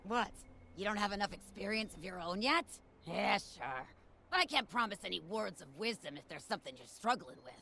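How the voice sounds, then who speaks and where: A woman's voice: mid-range voice, expressive, fairly quick, creaky, bright, forceful tone, a little hiss or hum. A woman playing a tough, wisecracking, jaded ghoul brawler in a video game, talking in cocky street slang with a gritty edge. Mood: wry. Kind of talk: video game character dialogue.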